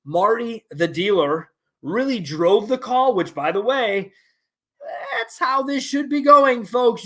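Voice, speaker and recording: Blunt, high-voiced man ranting candidly. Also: pushed and bright. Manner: blunt, candid, swears casually, emphatic asides. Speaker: podcast host. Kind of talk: solo podcast monologue. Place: his office.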